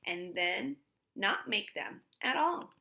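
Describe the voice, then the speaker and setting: A woman voicing a sharp-tongued, protective mother in a children's story, with emphatic delivery. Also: medium pitch, expressive, takes it slowly, creaky, full character voice. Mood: indignant.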